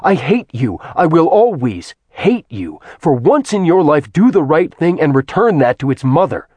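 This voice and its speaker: A man's voice: fairly low pitch, normal speed, no echo, lightly acted. A man playing a bitter, vengeful immortal man who snarls venomously with a raised, seething voice.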